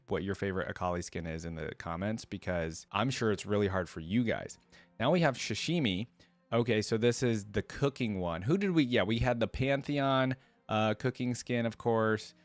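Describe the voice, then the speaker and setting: A man's voice: fairly low pitch, dry recording. A man hosting a reaction video, chatting casually and enthusiastically in slangy fan talk.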